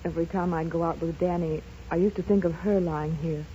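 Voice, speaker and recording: An actress playing a wistful, grieving, soft-hearted woman in a 1930s radio drama, speaking haltingly and sorrowfully. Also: fairly low pitch, steady tone, close to an everyday voice. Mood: wistful, pitying. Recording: dull, noisy 1930s radio recording.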